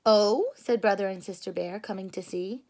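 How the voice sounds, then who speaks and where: A woman reading a children's storybook aloud and explaining it simply, in an expressive, careful teacherly way. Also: medium pitch, fairly quick, creaky.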